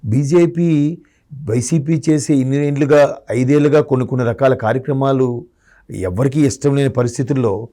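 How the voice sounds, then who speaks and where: A man's voice: medium pitch, moderate pace, warm tone. A man giving political commentary in a discussion, arguing his points through rhetorical questions. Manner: argumentative, asks rhetorical questions.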